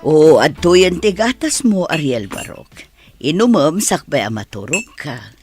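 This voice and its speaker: A woman's voice: low-pitched, sing-song, measured pace, darkish tone, soft background music. A woman playing a kindly, ailing madam who lost her son, motherly and considerate, in a radio drama. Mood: caring. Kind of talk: Ilocano radio drama acting.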